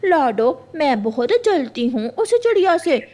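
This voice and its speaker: A woman's voice: sits high, sing-song, unhurried, a little mellow. A woman voicing a jealous, spiteful female crow wife in a cartoon story, melodramatic and indignant. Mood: jealous, bitter. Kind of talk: Hindi cartoon story acting.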